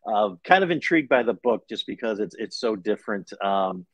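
A man's voice: lowish voice, lively intonation, a little fast, no echo. A middle-aged man, an author, talking casually and telling stories as an interview guest on a video call. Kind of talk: casual conversational storytelling. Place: indoor living room at home.

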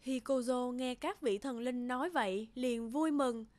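A woman's voice: sits high, steady tone, measured pace, darkish tone, no echo. A woman narrating a scripted children's folktale as a storyteller. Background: background music.

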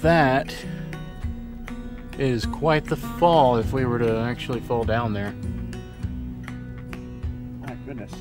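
A man's voice: medium pitch, takes it slowly, pauses often, over music. A young man narrating a casual hiking vlog outdoors, chatty and joking, filmed on a camera at arm's length. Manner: chatty, joking, relaxed commentary. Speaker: travel vlogger. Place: outdoors on a forest trail. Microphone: action camera at arm's length.

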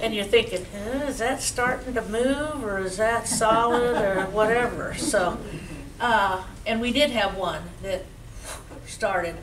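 A woman's voice: fairly high pitch, slow, crowd noise. Older woman telling work stories, roomy. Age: 70s. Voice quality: loud voice. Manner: chatty, animated storyteller with gestures. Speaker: guest speaker. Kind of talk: recounting memories to an audience. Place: community museum meeting room, indoors. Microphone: handheld mic, far camera. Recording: roomy, distant camera audio.

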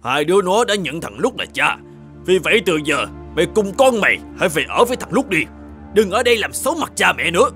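Casting: angry cartoon patriarch, high swooping voice, proud and scolding, music underneath. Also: male voice, very animated, fairly quick, fairly bright tone. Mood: scolding, resolute. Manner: blustering, scolding. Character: stern, angry middle-aged father, proud village lord. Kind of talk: animated fairy-tale dubbing. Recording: music behind the voice.

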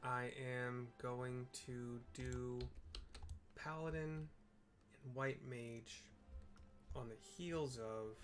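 A middle-aged man giving casual, unhurried game commentary in a small room at home, with muttered asides and game music behind him. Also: medium pitch, steady tone. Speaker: game streamer. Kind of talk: casual let's-play commentary.

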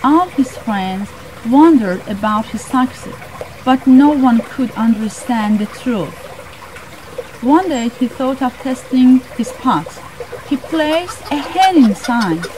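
A woman's voice: sits high, lively intonation, slow pace, a little mellow, low-quality recording. A woman in her thirties reading a folk tale aloud as a storyteller. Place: outdoors in a woodland by water. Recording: clear noise of running water outdoors.

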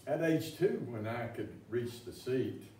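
A man's voice: mid-range voice, animated, measured pace. An older man, a fire chief, reading a rhyming children's picture book aloud in a garage bay, picked up by a distant camera. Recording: echoey bay, distant mic, faint noise.

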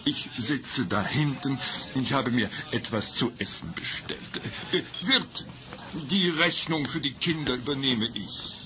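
An actor playing an older, avuncular country pastor in a children's radio play, speaking in an unctuously friendly, patronising way. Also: male voice, mid-range voice, a little slow, close to an everyday voice. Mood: polite, coaxing. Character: genial, polite, avuncular male country pastor. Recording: old audio drama with background noise.

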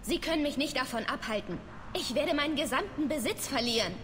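An actress playing an indignant, desperate human resident in a video game, insistently arguing. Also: female voice, high voice, animated, brisk, a bit bright. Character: indignant, desperate human woman shut out of home. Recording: reverberant game scene, faint noise.

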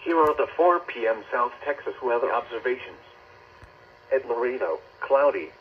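Robotic guy reading weather on a radio, stiff announcer style, lo-fi and noisy. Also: medium pitch. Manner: stilted, synthesized, formal announcer delivery. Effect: synthetic voice over weather radio. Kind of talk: scripted weather bulletin reading. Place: played from a weather radio indoors. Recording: dull radio speaker, clear noise.

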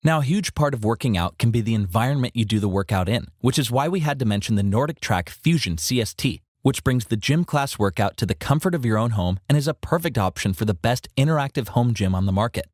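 A man reading a scripted product review as a voice-over in an even, measured way. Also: medium pitch, dry recording, clean studio sound.

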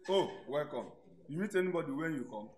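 A man's voice: on the high side, lively intonation, fairly quick, natural voice. A young man with a Nigerian accent playing a welcoming, joking student roommate in a radio drama. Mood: friendly, joking. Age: young adult. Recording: echoey room, faint noise.

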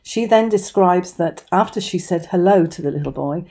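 A woman's voice: on the low side, moderate pace, warm tone. A woman giving opinion commentary as a voice-over. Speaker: commentary channel host.

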